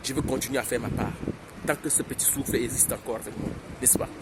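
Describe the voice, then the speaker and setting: A man's voice: mid-range voice, steady tone, quick pace. Impassioned man in outdoor street noise. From Cameroon. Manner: impassioned, exhorting, repeats phrases. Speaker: YouTuber. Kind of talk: political vlog monologue.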